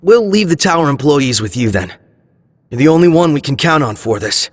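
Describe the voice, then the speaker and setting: An actor playing an earnest, resolute young male squad leader in a video game cutscene, with a husky voice. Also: a little high, very animated, brisk, long reverb tail.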